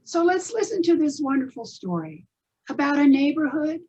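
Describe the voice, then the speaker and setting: A woman's voice: high-pitched, sing-song, moderate pace, dark tone, a little hiss or hum. A woman presenter giving scripted introductions to stories. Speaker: presenter introducing readers theatre pieces. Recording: dull lo-fi recording.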